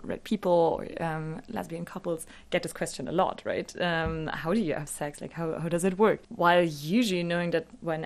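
A woman with a slightly low voice, speaking as a native German speaker. She answers as an expert guest in an interview. Her manner is thoughtful and academic as she explains ideas.

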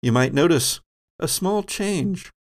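A man's voice: on the high side, lively intonation, takes it slowly, vocal fry. A man hosting a tabletop role-playing podcast as game master, talking casually to players and listeners.

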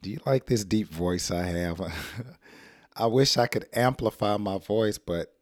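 A middle-aged American man with a hoarse, rough throat, teaching an English lesson in a casual, playful way and acting out example sentences. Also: fairly low pitch, slow, dry recording, clean studio sound.

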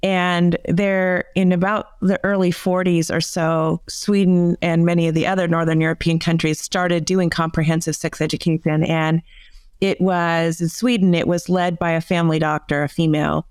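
Smooth-voiced woman explaining. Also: fairly low pitch, normal speed, no echo, studio quality. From United States. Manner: animated explanation with many fillers. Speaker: psychotherapist guest. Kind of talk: conversational interview.

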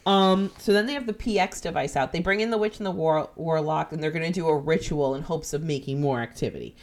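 A woman with a New Jersey accent, hosting a podcast and retelling a ghost-hunting episode in animated, profane casual chat. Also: sits low, quick pace. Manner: animated, profane, jumps into quoted voices. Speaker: podcast host.

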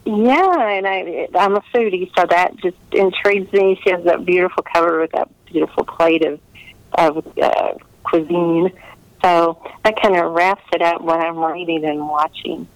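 A woman's voice: on the low side, moderate pace, no room sound, poor audio. A woman chatting casually as an author being interviewed, gracious and enthusiastic in the way she talks.

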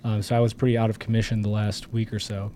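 A hoarse man co-hosting a chatty podcast, with a dark, mellow tone. He rambles and jokes, filling his talk with lots of ums and uhs. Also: on the low side, flat delivery, fast talker, slight background noise. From Michigan. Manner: joking, rambling with many fillers. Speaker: podcast co-host. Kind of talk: casual political podcast chat.

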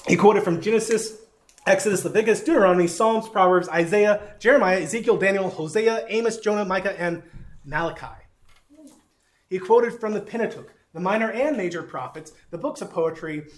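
A man's voice: sits high, brisk. A man with a Southern accent teaching a sermon, folksy and self-deprecating, with frequent fillers. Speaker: pastor.

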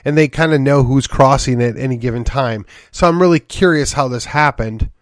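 A man's voice: mid-range voice, normal speed, no echo. Man, stuffy sinus voice, wry rambling podcast host, dry close sound. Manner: rambling, wry, with sighs and short laughs. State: congested from a sinus infection. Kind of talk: solo political commentary podcast.

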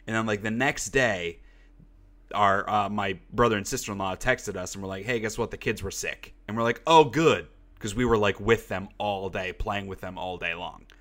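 A man's voice: lowish voice, moderate pace, fairly bright tone. A young man hosting a casual podcast, congested from a cold and rambling with lots of fillers. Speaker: podcast host. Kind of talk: casual chat.

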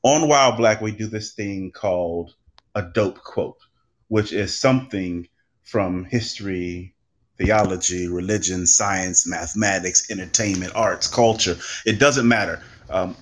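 A man with a fairly low voice speaks as a guest on a podcast panel. His delivery is reflective and deliberate, building his points in measured phrases.

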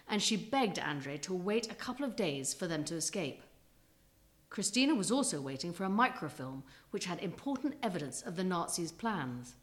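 A woman's voice: on the low side, fairly bright tone. A woman narrating a scripted historical story as a storyteller, with a dramatic, suspenseful delivery.

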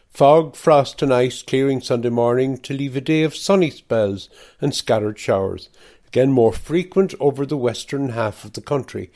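Male weather reader, mid-range pitch, scripted community radio forecast delivery. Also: dark tone.

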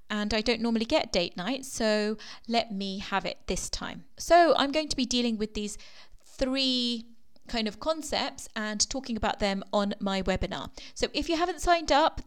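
Woman podcast coach, solo episode; slightly croaky from a sore throat, explanatory, trailing off softly. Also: mid-range voice. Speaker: weight loss coach and podcast host.

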